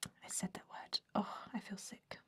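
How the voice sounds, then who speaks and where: A woman's voice: moderate pace, natural voice. Whispering woman as a discouraging vampire recruiter. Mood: discouraging, matter-of-fact. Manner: hesitant, hushed, politely dismissive. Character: centuries-old female vampire recruiter, fussy and discouraging. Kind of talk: ASMR roleplay, whispered.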